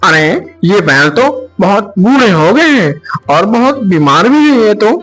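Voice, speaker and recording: Man playing a high-voiced village doctor. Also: sing-song, a little slow, fairly bright tone, soft background music, exaggerated voice. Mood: concerned. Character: village animal doctor, plain-spoken man. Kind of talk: cartoon story acting.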